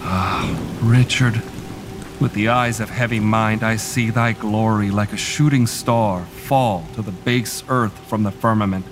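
A man's voice: on the low side, steady tone, unhurried, close to an everyday voice. An actor playing a loyal nobleman who mourns his king, speaking lyrical verse in a Shakespeare radio drama. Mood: mournful. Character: loyal nobleman lamenting the king's fall. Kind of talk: Shakespearean stage acting for radio. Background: music and rain.

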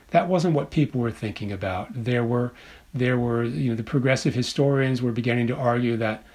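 Halting, scholarly man being interviewed. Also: mid-range voice. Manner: halting, repeats words and phrases emphatically. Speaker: interview guest, author and literature professor.

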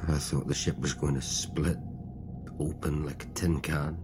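An actor playing a haunted astronaut, the sole survivor of a lost mission, speaking gravely and haltingly in an audio drama. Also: male voice, very deep voice, fairly even intonation, background noise. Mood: haunted, somber.

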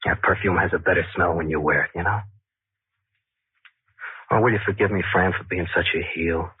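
An actor playing a volatile, manipulative ex-boyfriend in a 1940s radio drama, slangy and swinging between coaxing and bullying. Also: male voice, sits low, a little fast, husky, no echo. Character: volatile, manipulative male ex-boyfriend, wheedling then menacing. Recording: tinny, muffled old 1940s radio recording.

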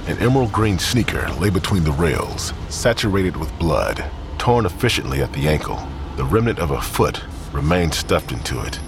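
A man narrating a crime drama in a grave, measured tone. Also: extremely low pitch, noisy background. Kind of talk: scripted narration.

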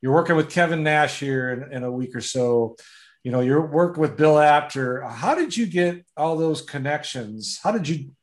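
A man's voice: a little high, expressive, normal speed. A man working as an interviewer in a casual chat, conversational and agreeable in the way he talks.

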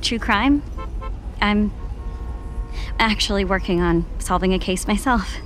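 A woman's voice: mid-range voice, a little slow, natural voice. An actress playing a mousy, eager young woman in an audio drama, hesitant and stammering as she talks. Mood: awkward, excited. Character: awkward, eager, mousy young woman, true-crime fan. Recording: faint music behind the voice.

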